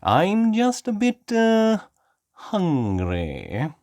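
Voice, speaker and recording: Storybook male wolf, performed by a woman: sly, hungry, insinuating, slow drawl, animated. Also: medium pitch, pitched above the performer's own voice, exaggerated voice. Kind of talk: read-aloud children's storybook voice.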